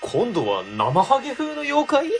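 A man's voice: very high-pitched, wildly swooping pitch, unhurried, vocal fry, faint music behind, full character voice. A man playing an earnest, anxious junior city official in a comedic radio drama, speaking formally. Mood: alarmed.

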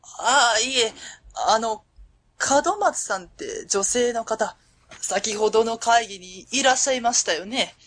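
A woman's voice: a little high, measured pace, fairly bright tone. An actress playing a friendly, modest middle-aged woman company president in a Japanese radio drama, polite and fond. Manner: polite, hesitant openers, fond chuckles.

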